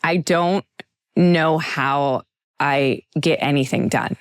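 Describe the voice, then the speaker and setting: A woman in her forties hosting a podcast in casual chat, animated and laughing often. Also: sits low, slow, smooth, no room sound, studio quality. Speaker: podcast host.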